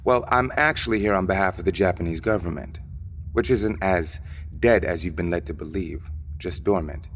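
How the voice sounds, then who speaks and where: Actor as male double agent, deep gravelly voice, measured, coolly polite, evasive, audio drama, echoing hall. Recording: reverberant hall with background noise.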